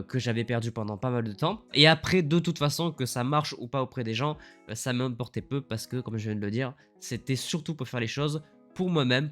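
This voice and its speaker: A man speaks with a congested, husky voice from a cold, at an ordinary middle pitch. He rambles enthusiastically with plenty of fillers while a faint guitar tune plays behind him. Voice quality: slightly lower, husky voice from the cold. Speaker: YouTuber. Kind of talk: year-in-review vlog talk.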